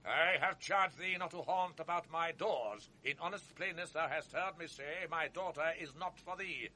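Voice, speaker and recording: A stern, indignant old senator. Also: male voice, on the high side, moderate pace, sharp tone, a little hiss or hum. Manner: stern, imperious. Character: indignant older Venetian senator, father. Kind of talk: 1980s radio drama acting.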